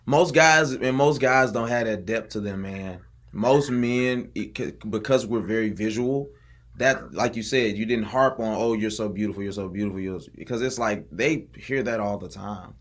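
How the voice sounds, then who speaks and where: A man's voice: lowish voice, moderate pace. Laid-back man hosting a podcast. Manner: laid-back, slangy, affirming. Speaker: podcast host. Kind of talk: casual chat.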